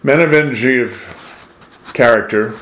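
An older American man from New England delivers a preachy monologue in a deliberate, emphatic way, posing rhetorical questions. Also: medium pitch, a bit bright. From New England, USA. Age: 60s or older. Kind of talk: preachy monologue with read-out quotations. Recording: roomy, echoing voice.

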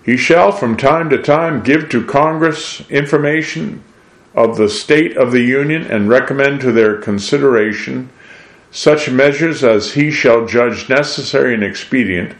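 An older American man from New England delivers a preachy monologue in a deliberate, emphatic way, posing rhetorical questions. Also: mid-range voice. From New England, USA. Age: 60s or older. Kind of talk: preachy monologue with read-out quotations. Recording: roomy, echoing voice.

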